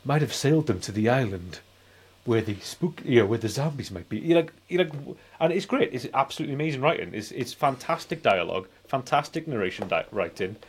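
A man's voice: medium pitch, animated, fairly quick, husky. A man in his forties chatting casually as a film podcast co-host, rambling and often repeating himself. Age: 40s. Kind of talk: casual film review chat.

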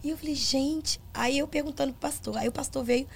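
High, gravelly Brazilian woman storyteller. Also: a little fast, slight background noise. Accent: Brazilian Portuguese. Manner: animated storyteller, acts out quoted dialogue. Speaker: gospel singer, interview guest. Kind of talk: casual interview storytelling.

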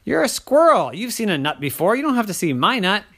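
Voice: mid-range voice, sing-song, brisk, fairly bright tone, pitched above the performer's own voice. A storyteller voicing an annoyed squirrel friend who shoos away a pest. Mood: exasperated. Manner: shooing, exasperated scolding. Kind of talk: bedtime story character voice.

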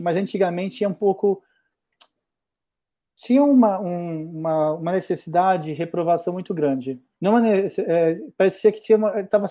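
A man's voice: high-pitched, mellow. A middle-aged man from Brazil, a mathematics professor, talking reflectively in a casual conversation with many restarts.

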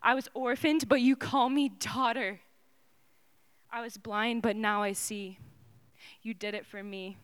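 A young woman performing dramatic spoken word poetry in a slow, solemn way that often drops to a hush. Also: fairly high pitch, steady tone, clean tone.

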